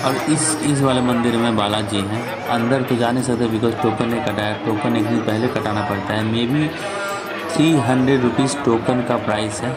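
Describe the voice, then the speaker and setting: A man casually explaining a temple visit and the road as a travel vlogger, with some hesitant pauses. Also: medium pitch, steady tone. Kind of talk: casual guiding narration. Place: outdoors at a busy temple and on a road. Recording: loud crowd and music behind.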